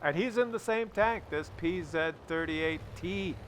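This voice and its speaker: A man's voice: fairly high pitch, unhurried, slight background noise. A man giving casual, rambling video game commentary in a conversational, mildly sardonic way. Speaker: gameplay commentator.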